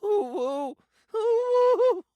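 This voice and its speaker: Man putting on a dreamy cat-creature voice, very animated. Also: very high-pitched, extremely slow, no room sound, lo-fi sound, pitched above the performer's own voice, full character voice. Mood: awestruck.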